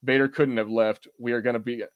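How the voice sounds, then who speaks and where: A man's voice: mid-range voice, flat delivery, fairly quick, clean tone, dry recording, highs cut off. A man hosting a podcast, chatting casually about fandom in an enthusiastic, rambling, jokey way. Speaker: podcast host. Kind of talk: casual fan discussion.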